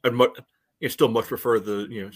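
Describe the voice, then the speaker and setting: A man giving casual soccer analysis as a podcast panelist, in a halting way padded with filler phrases. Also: mid-range voice, fairly even intonation.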